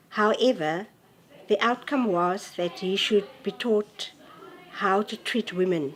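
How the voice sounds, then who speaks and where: A woman in her late forties giving earnest, halting personal testimony to camera. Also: mid-range voice, low-quality recording. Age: 40s. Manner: halting, earnest, soft-spoken. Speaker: interviewee.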